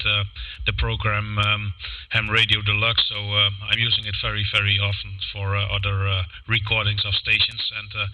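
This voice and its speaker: A man on a ham radio contact, his voice very bright and sharp in tone. He talks haltingly, stopping often to search for words and restarting his sentences. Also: medium pitch, poor audio. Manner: halting, many fillers and restarts. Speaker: amateur radio operator.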